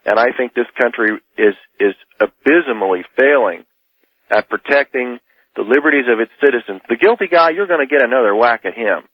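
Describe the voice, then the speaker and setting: A man's voice: mid-range voice, animated, brisk, no echo. A man arguing politics insistently as a caller on a radio show, in a heated debate style. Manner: insistent, argumentative. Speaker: radio call-in guest. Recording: dull lo-fi radio call.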